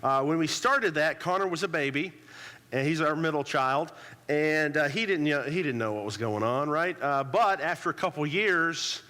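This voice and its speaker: A man's voice: fairly high pitch, lively intonation, normal speed, bright, forceful tone. Congested man preaching in a hall. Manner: conversational, frequent "uh" fillers and restarts. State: congested from a cold. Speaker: pastor. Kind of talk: sermon with prayer and personal story. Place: church sanctuary.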